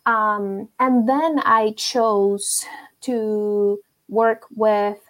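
A woman's voice: medium pitch, fairly even intonation, very slow. A woman, a therapist guest telling her story reflectively with frequent ums. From Venezuela. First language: Spanish. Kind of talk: casual interview conversation.

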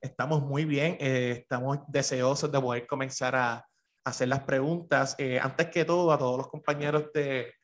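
A Puerto Rican man hosts a podcast interview in a formal, deferential tone. His voice sits at a middle pitch, and he often pauses on "eh" between phrases. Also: steady tone. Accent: Puerto Rican Spanish. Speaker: podcast host. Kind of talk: formal interview with a sponsor read.

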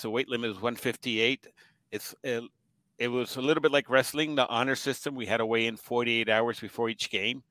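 Bright, dry-sounding older man. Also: medium pitch, no echo, low-quality recording. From Puerto Rico. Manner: storytelling, chuckles often, many restarts. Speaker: guest broadcaster. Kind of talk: casual interview chat.

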